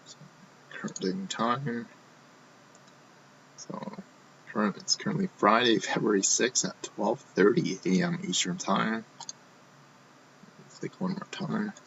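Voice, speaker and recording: A man hosting a live card box break, talking casually while he opens packs, his voice going hoarse. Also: on the low side, fairly even intonation, unhurried, long pauses, a bit bright, muffled recording, slight background noise. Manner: casual, running commentary while opening packs. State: losing his voice.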